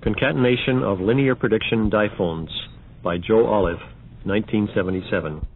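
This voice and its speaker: A man reading scripted, numbered announcements in a measured, matter-of-fact narrator's manner. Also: on the low side, breathy edge. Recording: dull band-limited old audio.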